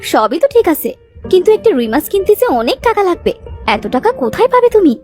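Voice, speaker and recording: Woman acting a high-voiced, practical village wife. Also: big pitch swings, fairly quick, darkish tone, faint music behind. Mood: worried, chiding. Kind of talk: Bengali cartoon voice acting.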